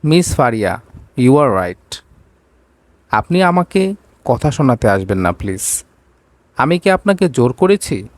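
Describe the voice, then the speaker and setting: Rich, icy boss for a romance audio story played by a man, curt, mid pitch. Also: big pitch swings, slow, long pauses, no room sound. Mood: cold, irritated. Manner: curt, commanding, slips into English. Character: cold, domineering rich male boss in a romance.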